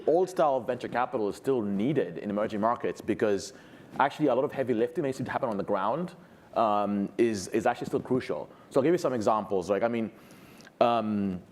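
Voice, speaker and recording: A man, a venture investor on a conference panel, speaking in a measured way with frequent fillers and restarts. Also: lowish voice, darkish tone, a little hiss or hum. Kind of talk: panel talk.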